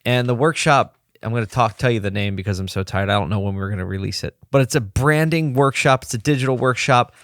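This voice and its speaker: A man hosting a solo podcast from his office desk, slightly hoarse and low on energy, talking in a rambling, candid way and pepping himself up as he goes. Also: quick pace, hardly any pauses, fairly bright tone, dry recording, studio quality. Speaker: podcast host. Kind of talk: casual solo podcast talk.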